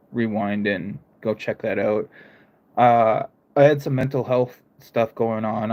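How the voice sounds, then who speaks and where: A young man whose American accent blends northern and southern sounds. He chats loosely with his viewers on a live stream, and he keeps halting and starting his sentences again. His voice sits at an ordinary pitch for a man. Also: highs cut off. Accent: mixed American, northern and southern. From United States. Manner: rambling, stops and restarts, talks to chat. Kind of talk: casual live-stream chat.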